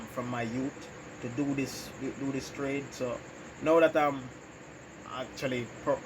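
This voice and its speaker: Jamaican man, electrician and channel host, casual interview talk, lots of ums and pauses, noisy background. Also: medium pitch. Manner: unhurried, pauses often with "um" and "you know". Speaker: electrician hosting his own channel. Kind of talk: casual interview answers about his life.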